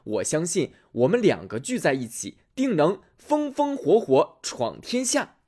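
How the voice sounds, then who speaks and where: A man voicing a bold, boastful discharged soldier in a storybook reading, with a husky voice. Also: a little high, huge pitch swings, measured pace, warm tone, pitched above the performer's own voice, exaggerated voice. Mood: rousing. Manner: boastful, rousing.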